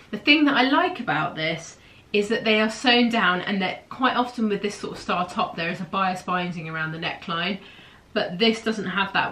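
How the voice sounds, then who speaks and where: A woman's voice: fairly low pitch, bright, forceful tone. A woman in her thirties with a stuffy, nasal voice from hay fever, chatting enthusiastically to camera from a small room at home. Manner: enthusiastic, gushing, gestures a lot with her hands. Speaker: host of a sewing pattern show. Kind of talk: casual chatty review to camera.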